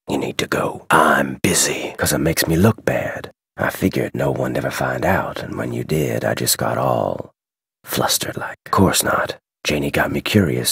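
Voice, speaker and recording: An actor playing a gruff, guarded head wrangler in a video game, with a terse, folksy American Western drawl. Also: male voice, very deep voice, a bit bright, no room sound, studio quality, exaggerated voice. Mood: brusque, annoyed, then guarded, defensive. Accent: American Western cowboy drawl. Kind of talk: scripted game dialogue.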